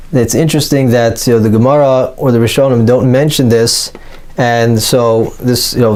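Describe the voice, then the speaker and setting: A man's voice: mid-range voice, a little mellow. Man teaching a methodical religious law class. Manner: analytical, methodical, stressing key points. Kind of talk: explanatory lecture on religious law.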